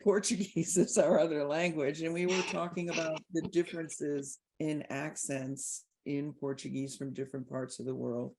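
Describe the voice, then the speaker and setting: Woman, very low creaky voice, podcast host, articulate, emphatic, conversational. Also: warm tone. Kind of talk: conversational interview commentary.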